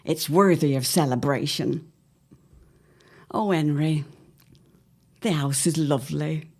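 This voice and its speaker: A woman's voice: low-pitched, steady tone, takes it slowly, a little mellow, heavily acted. A woman playing an anxious middle-aged wife of the 1910s in an audio drama, speaking in a formal, old-fashioned, earnest way. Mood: brisk, fond. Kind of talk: period audio drama acting.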